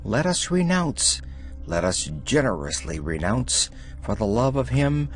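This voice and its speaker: Male audiobook narrator, very deliberate pacing, measured and solemn, faint music underneath. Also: medium pitch, very animated. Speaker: audiobook reader. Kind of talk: scripted reading of devotional letters.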